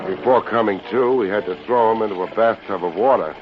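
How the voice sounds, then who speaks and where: An actor playing a wisecracking, unflappable private investigator in an American radio drama, dry and sardonic, with an American accent. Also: male voice, on the low side, fairly quick, lightly acted. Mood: wry narration. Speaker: lead actor narrating and playing a detective. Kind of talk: 1950s American radio detective acting. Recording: dull old radio recording with music.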